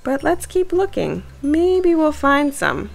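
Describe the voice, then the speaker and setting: Woman voicing a cautious, animated boy mouse. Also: high-pitched, slow, creaky, soft background music, voice pushed up, full character voice. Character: cautious, sensible young male mouse.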